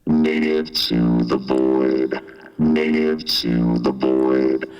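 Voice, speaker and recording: Very deep vocoded robot voice. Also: male voice, clean tone, no room sound, lo-fi sound, faint music behind, exaggerated voice. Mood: robotic drone. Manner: monotone, slow chant. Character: droning robotic synthesizer voice from the void. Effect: vocoder robot filter.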